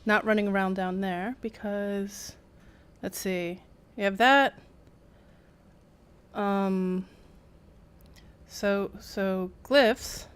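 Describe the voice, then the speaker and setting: A woman's voice: medium pitch, steady tone, extremely slow, long pauses, clear, resonant tone. A woman casually commentating a game live, thinking aloud in short fragments. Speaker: game streamer. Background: faint game music.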